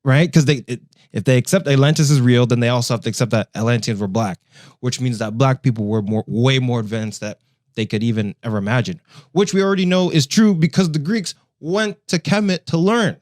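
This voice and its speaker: A man's voice: medium pitch, brisk, a little mellow, no echo, studio quality. A man co-hosting a podcast in casual, conversational chat. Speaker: podcast co-host.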